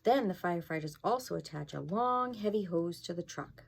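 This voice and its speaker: A woman's voice: on the low side, lively intonation, moderate pace, creaky, husky. A woman fire inspector reading a storybook aloud to children, expressively, with casual asides and questions to her young listeners.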